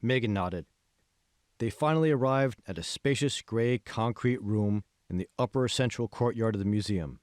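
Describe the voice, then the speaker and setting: A man reading scripted fiction as an audiobook narrator, in a measured, phrase-by-phrase way. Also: on the low side, darkish tone, no room sound, clean studio sound. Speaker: author reading his own audiobook.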